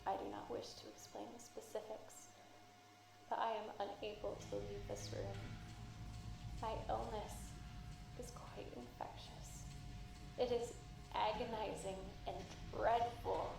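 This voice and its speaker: A woman voicing a sick, tender matron who speaks slowly and solemnly in croaky, breathy tones through a static-laced speaker. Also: medium pitch, expressive, echoey room. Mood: doting, grave. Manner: slow, solemn, doting. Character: sick, tender female matron, motherly and weary.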